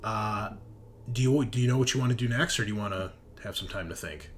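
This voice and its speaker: A man running a tabletop game, narrating off the cuff in a casual, halting conversational way. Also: on the low side, a little hiss or hum. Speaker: game master.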